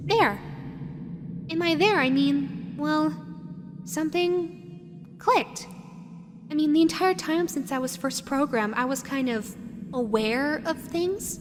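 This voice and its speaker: A woman voicing a sweet, teasing female animatronic fox made for children, in an intimate roleplay audio addressed to the listener. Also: high voice. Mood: musing, confiding. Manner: playful cooing, drifting into wistful musing. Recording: long reverberant hall echo. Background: music.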